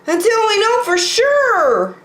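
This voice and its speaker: A woman's voice: extremely high pitch, huge pitch swings, slow, a bit bright, voice pushed up, full character voice. A woman voicing a boastful, cheery male buffalo from a storybook, with an exclaiming, sing-song delivery in a roomy space. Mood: nervous.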